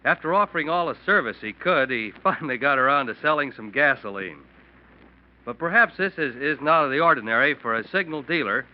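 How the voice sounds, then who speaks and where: A man giving a formal, earnest scripted testimonial in a 1940s radio commercial. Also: medium pitch. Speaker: customer giving sponsor testimonial. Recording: muffled vintage radio recording.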